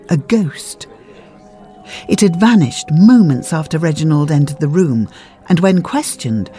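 A woman narrates a mystery audiobook in a mellow voice that moves expressively up and down. It is scripted, storytelling delivery, with faint music underneath. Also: low voice.

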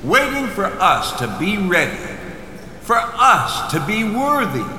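A man's voice: sits high, sing-song, slow pace, pushed and bright, full character voice. An actor playing a charismatic male cult leader in an audio drama, preaching to followers with theatrical, rhetorical phrasing. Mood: rousing. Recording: echoey hall with crowd, faint noise.

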